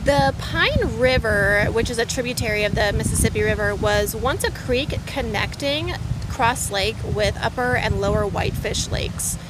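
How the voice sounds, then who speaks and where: A fairly high-voiced woman talks casually and eagerly, full of "um" and "like", as she walks a lakeside campground with a handheld camera. Heavy wind noise roars across the recording outdoors. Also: moderate pace. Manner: enthusiastic, chatty, many fillers. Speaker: van life vlogger. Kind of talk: casual campground tour vlog.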